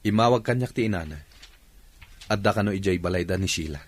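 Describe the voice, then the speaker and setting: A man's voice: low voice, quick pace, a little hiss or hum, lightly acted. An actor playing an earnest, troubled married man in an Ilocano radio drama. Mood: heavy-hearted.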